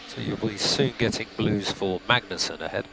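A man working as race engineer, giving calm, clipped gap numbers and setup instructions to a driver. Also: on the low side, normal speed, gravelly, rough recording. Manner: calm, methodical, clipped updates. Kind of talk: team radio instructions. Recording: compressed team radio with music.

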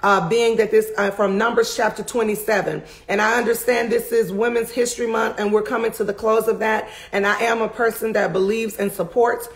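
Woman preacher, raspy gravelly voice, fervent and emphatic, middle-aged, close webcam in a home study. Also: a little high, steady tone, brisk, few pauses. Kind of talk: sermon recap to camera. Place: home study with bookshelves, indoors.

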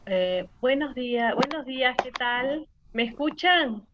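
A woman's voice: high-pitched, lively intonation, measured pace, background noise. A woman poet with a Uruguayan Spanish accent, speaking at an online literary event. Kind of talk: poetry reading and introductory remarks at an online launch. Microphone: video call. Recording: dull lo-fi video call audio.

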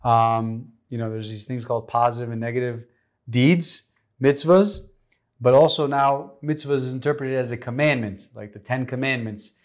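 A man's voice: mid-range voice, steady tone, moderate pace. A man in his thirties hosting a casual wine tasting chat in a studio, enthusiastic and rambling, with a lapel mic. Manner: enthusiastic, rambling with many likes. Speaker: host. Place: studio set with vineyard backdrop.

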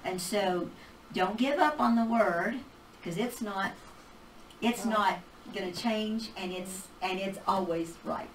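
A middle-aged woman leads a casual Bible class, talking with a handheld mic. Her voice is animated, and she breaks into laughter often. She sounds roomy and distant, as if the camera picked her up from across a small hall. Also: medium pitch, slow pace, slight background noise. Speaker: Bible teacher. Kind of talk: casual teaching talk. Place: small church hall with projector screen. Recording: roomy hall recorded from far off.

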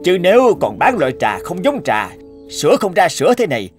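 An indignant old cartoon traditionalist. His voice is high and very animated, full of grumpy complaint, with music behind. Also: brisk. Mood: disapproving. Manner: complaining, scolding. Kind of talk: Vietnamese cartoon dub.

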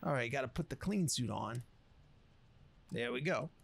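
A man's voice: mid-range voice, animated, moderate pace, creaky, slightly rough. A man giving casual video game commentary in folksy, slangy speech, muttering along to himself as he plays. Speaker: gameplay streamer.

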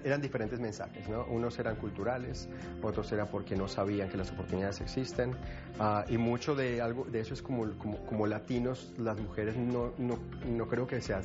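A man with a somewhat low voice talks haltingly, restarting and searching for words. Guitar music plays clearly behind him on a slightly dull recording. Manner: halting, many restarts, gesturing. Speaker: tech group founder. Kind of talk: documentary interview.

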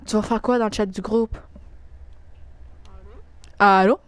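A woman's voice: medium pitch, fairly even intonation, fast, dark tone, no room sound. A woman with a Quebec French accent chatting casually while livestreaming a game, slangy and crude in her teasing. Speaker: video game streamer.

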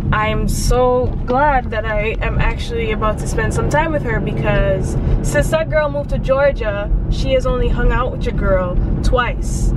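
A young adult woman chatting casually to a vlog camera. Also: a little high. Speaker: vlogger. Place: inside a car, later an ice cream shop. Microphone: handheld or mounted vlog camera. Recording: loud car and background noise.

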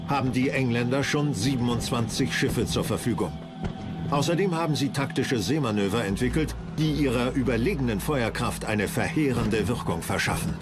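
A male documentary narrator reads from a script. His voice is of medium pitch, and music plays prominently behind him. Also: normal speed.

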